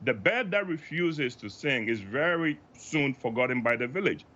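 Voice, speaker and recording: Bright, forceful man giving expert analysis. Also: medium pitch, expressive, normal speed, dull audio. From Africa. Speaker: professor, expert guest. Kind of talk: live TV news interview, analytical commentary.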